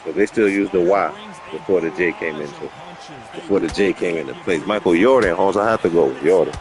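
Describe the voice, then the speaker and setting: A man's voice: a crowd in the background, close to an everyday voice. A man putting on a menacing homeboy. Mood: torn. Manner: low, slow, murmured threats. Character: laid-back streetwise homeboy, calls everyone Holmes, quietly menacing.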